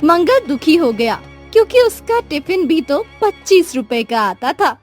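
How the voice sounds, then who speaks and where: A woman's voice: sits high, sing-song, measured pace. A woman narrating a scripted moral story as the storyteller, with music behind her. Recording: music under the voice. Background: background music.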